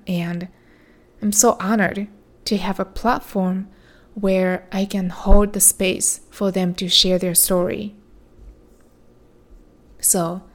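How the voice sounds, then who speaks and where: A woman hosting her own podcast, speaking in a reflective monologue with a soothing, measured delivery. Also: mid-range voice. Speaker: podcast host.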